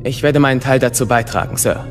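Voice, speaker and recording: A man's voice: mid-range voice, fairly quick, faint music behind, close to an everyday voice. Earnest young knight played by a man in reverb. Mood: earnest, deferential. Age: young adult. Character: earnest, respectful young male Jedi knight. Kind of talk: German radio drama acting.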